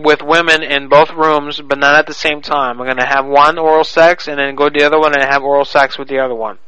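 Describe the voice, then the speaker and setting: A man's voice: fairly high pitch, flat delivery, quick pace, sharp tone, clean tone, no room sound. A man making a prank phone call in a crude, pushy, mock-serious manner. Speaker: prank caller.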